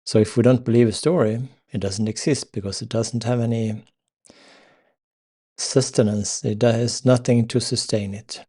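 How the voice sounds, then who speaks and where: A man with a Swedish accent hosting a spiritual live stream, talking slowly and hesitantly with many fillers and pauses. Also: mid-range voice, steady tone, dark tone, breathy edge. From Sweden. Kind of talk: unscripted spiritual talk.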